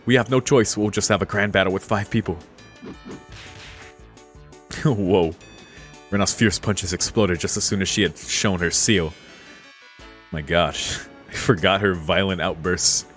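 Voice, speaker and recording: Guy streamer, deep voice, reading visual novel out loud n chatting casually, faint music under it. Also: unhurried, long pauses. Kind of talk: reading aloud and casual commentary.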